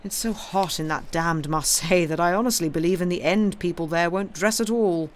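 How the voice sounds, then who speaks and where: A man's voice: sits high, brisk, slight background noise, lightly acted. A man voicing a fawning, garrulous innkeeper who stammers and complains as he serves, in an audiobook. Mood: chatty, ingratiating. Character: fawning, garrulous, self-pitying male French innkeeper.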